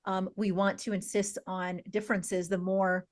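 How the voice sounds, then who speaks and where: A woman hosts a talk show in a mid-pitched, ordinary voice. She thinks aloud and fills her pauses with plenty of "um" as she moves the talk along. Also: flat delivery. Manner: thinks aloud with many "um" fillers. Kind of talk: conversational interviewing.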